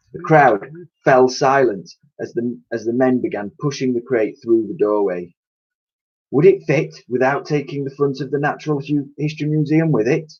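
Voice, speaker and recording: A man's voice: mid-range voice, a little mellow, muffled recording. A man reading a children's storybook aloud expressively, like a teacher addressing his pupils.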